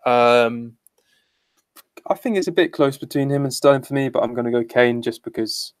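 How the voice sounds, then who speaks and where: A man's voice: medium pitch, moderate pace, smooth, no echo, clean studio sound. A man co-hosting a fantasy football podcast in casual chat, speaking bluntly and swearing freely.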